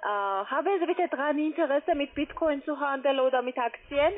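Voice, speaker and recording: A woman with a foreign accent speaking halting, non-native German as a call-center trader on a sales call. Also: sits high. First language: not German. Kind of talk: scripted sales phone call. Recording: tinny narrowband phone line.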